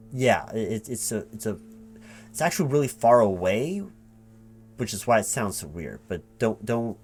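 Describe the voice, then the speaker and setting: A man playing a flustered, evasive recruiting manager in a one-sided ASMR job interview roleplay, halting, repeating and correcting himself as he rambles. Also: on the low side, a little mellow, slight background noise.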